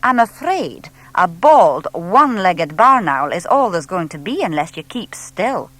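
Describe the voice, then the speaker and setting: A woman's voice: on the low side, a little slow, dry recording, exaggerated voice. A woman voicing a friendly young lady artist who explains things patiently and enthusiastically in a children's audiobook. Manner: animated, explaining gently to a small child.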